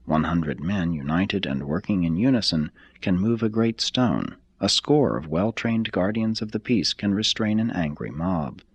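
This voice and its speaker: A man reading a formal text aloud as scripted narration, in a measured, deliberate manner. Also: low-pitched, expressive, slightly rough, dry recording, highs cut off. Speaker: audiobook reader.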